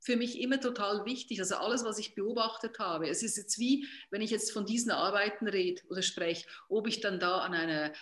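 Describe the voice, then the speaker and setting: A Swiss-accented woman speaks as an artist being interviewed, in a medium-pitched voice. She thinks aloud, haltingly, circling back over her phrases as she searches for words. The room echoes clearly around her. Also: fairly bright tone. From Switzerland. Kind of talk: reflective interview talk. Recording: roomy, echoing sound.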